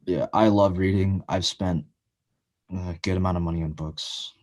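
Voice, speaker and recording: A nineteen-year-old man talking as a guest in a casual interview, halting and earnest, with lots of filler words. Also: low-pitched, fairly even intonation, a little mellow, slight background noise. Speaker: podcast guest, college student.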